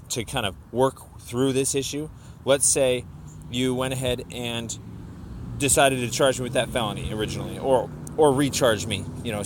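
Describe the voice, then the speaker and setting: A man leaving a complaint voicemail, explaining his argument insistently and haltingly, often repeating himself. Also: medium pitch, a little hiss or hum.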